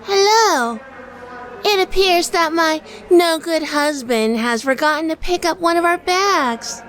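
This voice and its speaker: A woman acting out a sweetly fussy housewife with a high, bright voice. She is prim and chatty, laying on affected charm in a noir audio drama. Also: measured pace. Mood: affected sweetness. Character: sweetly fussy housewife blaming her husband, a ruse.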